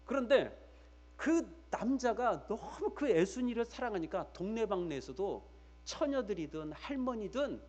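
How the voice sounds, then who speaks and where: Animated, high-pitched male preacher. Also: measured pace. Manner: emphatic, repeats key phrases for weight; addresses listeners directly with rhetorical questions. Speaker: pastor preaching to a congregation. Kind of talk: church sermon.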